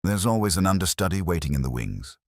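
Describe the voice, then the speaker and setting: A shrewd, soft-spoken detective inspector from a mystery audiobook, played by a male narrator. The voice is very deep and husky, murmuring each knowing line at a measured, probing pace. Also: big pitch swings, dry recording, clean studio sound. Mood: quietly suspicious.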